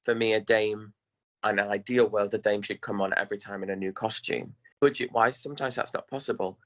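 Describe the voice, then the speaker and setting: Podcast guest: male, bright punchy delivery, emphatic and conversational, slightly muffled lo-fi sound. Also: fairly low pitch, steady tone, fairly quick. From England. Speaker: podcast guest, pantomime director. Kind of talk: casual interview chat.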